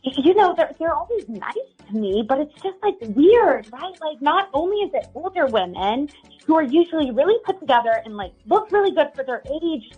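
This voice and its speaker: Woman acting as a high-voiced, chatty radio caller. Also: big pitch swings, a little fast, lo-fi sound, soft background music. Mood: puzzled, suspicious. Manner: rambling, filler-heavy, frequent "like". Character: chatty, bewildered young woman suspicious of her boyfriend. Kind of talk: casual phone-in chat.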